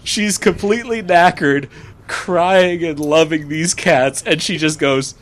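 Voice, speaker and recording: Laid-back man guest host; slow-paced, playful teasing, quick laughs. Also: fairly high pitch. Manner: slow, teasing, laughs at his own jokes. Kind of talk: casual podcast chat.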